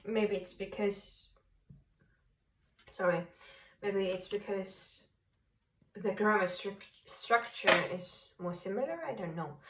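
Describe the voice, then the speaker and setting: A woman who speaks English as a second language gives an unhurried tarot reading, gentle and reassuring. Her tone is bright and clear, and she sounds a little set back from the microphone. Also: mid-range voice, distant mic, slight background noise, poor audio. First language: not English. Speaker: tarot reader. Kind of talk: pick-a-card tarot reading.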